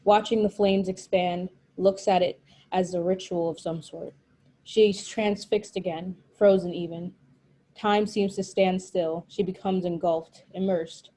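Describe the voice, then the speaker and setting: A woman's voice: lowish voice, fairly even intonation, a little slow, dark tone, slight background noise. A woman narrating stage directions from a screenplay at a table read.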